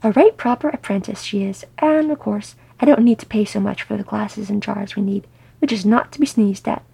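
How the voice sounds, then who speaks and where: A woman's voice: medium pitch, brisk, gravelly, mellow, breathy edge, dry recording. A woman voicing a blithe, rambling male dwarf with folksy speech in a fantasy story. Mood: blithe, cheerful.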